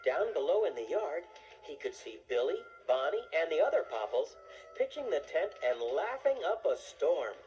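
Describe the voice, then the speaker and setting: Lady narrating a kids storybook, really animated, medium pitch, soft music under it. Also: normal speed, low-quality recording. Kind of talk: scripted children's story narration.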